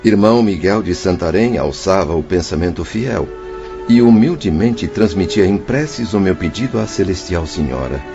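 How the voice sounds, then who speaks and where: An actor playing an anguished, repentant male spirit in a radio drama, plaintive and formal in his wording. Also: sits low. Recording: muffled lo-fi audio with music. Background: background music.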